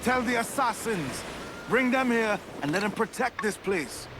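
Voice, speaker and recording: High-pitched, earnest pirate mate. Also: male voice, expressive, measured pace. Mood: urgent caution. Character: earnest, cautioning male pirate, the captain's loyal conscience. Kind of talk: video game cutscene acting. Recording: clear outdoor sea ambience noise.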